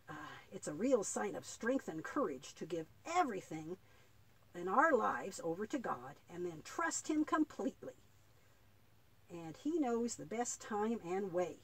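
A woman voicing a patient, devout old grandfather in a children's puppet play, explaining earnestly and haltingly with a husky voice. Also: mid-range voice, big pitch swings, full character voice, poor audio. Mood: earnest, instructive.

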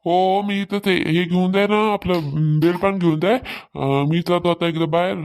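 Woman playing an easygoing village husband, lower voice. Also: steady tone, moderate pace, a little mellow, natural voice. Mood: casual. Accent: rural Maharashtrian. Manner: casual, colloquial, placating. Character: easygoing village husband, food-loving and reassuring. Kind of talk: amateur cartoon comedy dialogue.

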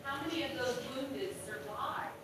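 A woman's voice: high-pitched, a little slow, background noise. A woman in the audience asking a question at a floor microphone in a large auditorium. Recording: very echoey hall, from far off.

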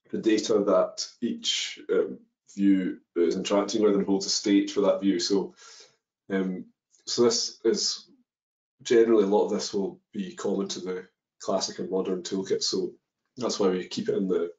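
A man's voice: low-pitched, level tone, normal speed, darkish tone. A man presenting a coding webinar, walking through code step by step with many ums and uhs. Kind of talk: live coding walkthrough. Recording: dull echoey webinar audio.

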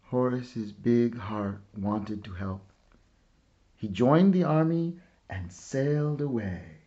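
A man reading a children's picture book aloud expressively, with dramatic pauses and hushed phrase endings. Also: medium pitch, lively intonation, highs cut off. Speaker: storybook reader. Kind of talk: scripted narration, picture book read-aloud.

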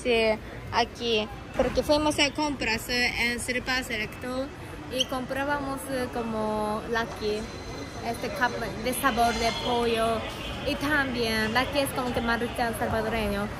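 A Japanese woman vlogging at an airport in careful, halting Spanish full of fillers. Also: a little high, steady tone. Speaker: travel vlogger. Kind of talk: casual travel vlog narration. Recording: noisy airport background.

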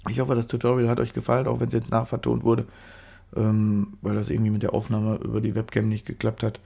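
A man's voice: fairly low pitch, completely flat delivery, fairly quick, creaky, mellow, husky. A man with a stuffy nose from a cold, chatting casually through a photo-editing tutorial voice-over, rambling and correcting himself as he goes. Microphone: studio microphone.

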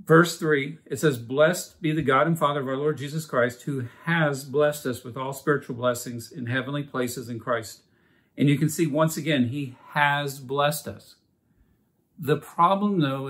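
A man teaching a Bible study in an earnest, measured way, opening with a prayer and reading scripture passages aloud. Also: on the high side.

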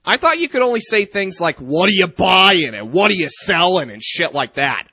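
A man's voice: high voice, sing-song, moderate pace, sharp tone, slightly rough, dry recording, exaggerated voice. A man playing an irritable, foul-mouthed young secret agent, sarcastic and exasperated, in a video game parody. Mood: irritated.

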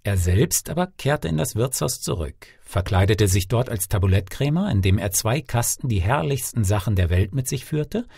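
A man tells a fairy tale in a low, husky voice with a mellow tone. His scripted audiobook delivery is theatrical and deliberately phrased. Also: lively intonation, no room sound, studio quality. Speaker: fairy-tale narrator, actor. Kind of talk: expressive scripted audiobook storytelling.

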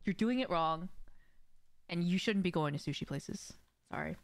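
Clear-voiced young woman streaming casually. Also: lowish voice, lively intonation, moderate pace. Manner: conversational, addressing viewers directly. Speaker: live streamer. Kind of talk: casual livestream chat while gaming. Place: indoor room at home.

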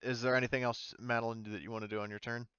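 A man with a bright, clear voice acts as game master for a casual tabletop session. He thinks out loud as he rules on moves. The audio is dry and a little dull, like close-miked voice chat. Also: medium pitch, level tone, very fast, no echo.

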